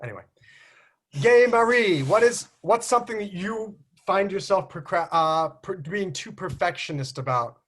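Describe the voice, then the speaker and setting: An American man with a notably high, bright voice hosts a casual group chat over a video call. He talks in an animated, teasing way, slips into mock accents, and laughs easily. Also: normal speed. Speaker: host.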